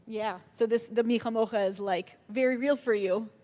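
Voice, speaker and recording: A woman's voice: a little high, normal speed. A woman leading a live prayer service in a synagogue sanctuary, speaking in an upbeat, encouraging way into a handheld mic. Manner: warm, upbeat, encouraging congregation chatter. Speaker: service leader. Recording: long hall reverb.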